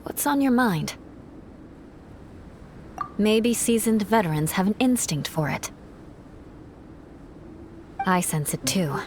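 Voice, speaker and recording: A woman's voice: medium pitch, animated, slow pace, long pauses, noisy background. An actress playing a proud, stern but caring young female knight in a video game, with a formal, composed, commanding manner. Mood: thoughtful, guarded. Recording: background music under the voice.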